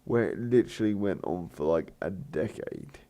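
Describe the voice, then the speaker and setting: Deep croaky man, rambling gamer talk. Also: animated, normal speed, dark tone. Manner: hesitant, many fillers and restarts. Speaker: gaming channel host. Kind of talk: rambling let's play commentary.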